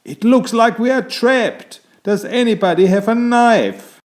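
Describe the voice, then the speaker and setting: A man's voice: extremely high pitch, measured pace, voice pushed up. A man voicing an elegant, polite tomcat adventurer who is easily alarmed, in a theatrical children's storybook delivery.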